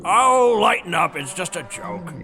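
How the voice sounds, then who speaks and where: A man's voice: high-pitched, sing-song, unhurried, bright, forceful tone, exaggerated voice. An actor playing a crotchety, mischievous old man in an audio drama, with a goading, folksy manner. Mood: jokey. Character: crotchety, mischievous old male museum staffer, Abraxas.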